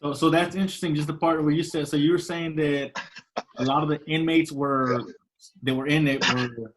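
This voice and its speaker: A man's voice: a little high, fairly even intonation, moderate pace, slight background noise, poor audio. A man hosting a casual interview, asking hesitant follow-up questions with restarts. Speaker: host.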